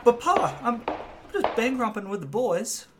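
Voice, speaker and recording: A man's voice: extremely high pitch, sing-song, a little slow, noisy background, full character voice. A man playing a timid, awkwardly friendly mama's-boy outlaw in a comic Western audio play, hesitant and over-polite. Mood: sheepish.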